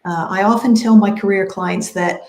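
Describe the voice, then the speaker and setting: A woman's voice: medium pitch, steady tone, normal speed, gravelly, darkish tone, slight background noise. Woman, career coach, enthusiastic, conversational, video call, echoey room. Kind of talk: live panel discussion.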